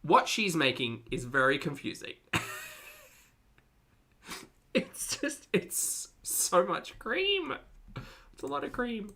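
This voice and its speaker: A bright, forceful voice with lively swings in pitch gives casual reaction commentary. The delivery is unscripted, incredulous and amused, with swearing dropped in freely. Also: takes it slowly, long pauses.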